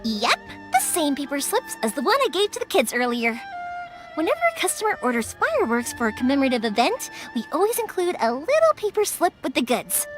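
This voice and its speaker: High, animated young game heroine. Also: female voice. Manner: animated, reassuring, casually chatty. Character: cheerful, caring young woman fireworks maker, loyal to customers. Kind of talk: English video game voice acting.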